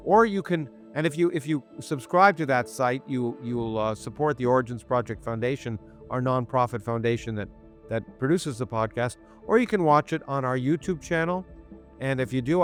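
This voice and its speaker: Casting: man, mid-pitched podcast host, stumbling and repeating words as he talks. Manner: halting, many restarts and repeated words. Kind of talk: interview podcast, intro then conversation. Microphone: remote interview setup.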